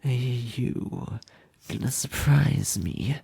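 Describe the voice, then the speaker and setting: A man voicing an insane, doting, sadistic kidnapper in an ASMR roleplay, husky and often whispering, with halting, sweetly menacing delivery. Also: mid-range voice, expressive, dark tone, dry recording, heavily acted. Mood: gleeful, taunting.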